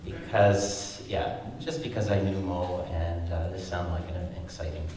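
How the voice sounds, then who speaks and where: A man in his sixties with a very low voice speaks haltingly, full of fillers and restarts. He is picked up from far off in a big, echoey concert hall. Faint noise sits underneath. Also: fairly even intonation. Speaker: flute player. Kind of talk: spoken remarks at a concert.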